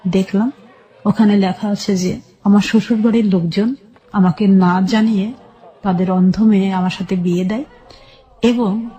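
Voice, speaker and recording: Dark-voiced Bangladeshi woman recounting her life. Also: mid-range voice, steady tone, moderate pace, pauses often, low-quality recording. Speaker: guest telling her life story. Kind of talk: personal storytelling in an interview.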